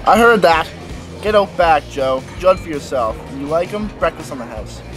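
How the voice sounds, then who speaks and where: Jovial, higher-voiced diner cook. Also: male voice, expressive, normal speed, fairly bright tone, soft background music. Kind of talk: audio drama acting.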